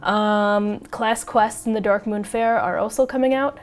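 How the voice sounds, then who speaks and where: A woman with a mid-range voice, slightly raspy from a lingering cold. She hosts a casual podcast chat, talking in a chatty, excitable way and laughing often. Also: mid-range voice, unhurried. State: getting over a cold, a little raspy. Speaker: podcast host.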